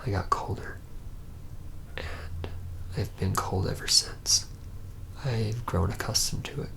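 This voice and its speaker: A man playing a reluctant, haunted young vampire in an ASMR roleplay, telling his story in a hushed, halting murmur that slips into breathy whispers. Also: full character voice. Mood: haunted, subdued. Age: young adult. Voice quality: breathy whisper mixed with low, gravelly murmur. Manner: halting, hesitant, pausing mid-phrase.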